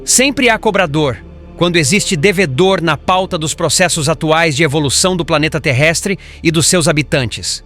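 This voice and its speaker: A man voicing a patient, solemn, learned spirit instructor in formal, measured audiobook narration. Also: a little high, a bit bright, faint music behind, lightly acted. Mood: solemn, grave.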